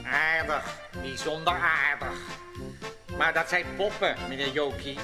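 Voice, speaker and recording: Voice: mid-range voice, unhurried, music in the background, natural voice. An actor playing a stiff, stuffy village mayor, formal and grumbling, in a children's audio play. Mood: stiff, grudging.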